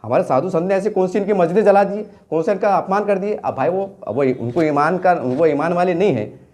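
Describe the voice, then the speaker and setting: High-voiced man with Deccani accent, impassioned commentary. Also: a little fast, darkish tone. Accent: Deccani Urdu-Hindi of Maharashtra. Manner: rhetorical questions and emphatic repetition. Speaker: local news journalist and commentator. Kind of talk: impassioned off-the-cuff political commentary.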